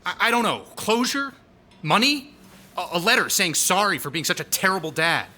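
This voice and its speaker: Bright, exasperated young man, very lively pitch. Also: moderate pace, heavily acted. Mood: bitter, upset. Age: young adult. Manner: sarcastic, skeptical. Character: exasperated, bitter young archaeology scholar, estranged brother. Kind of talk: audio drama acting.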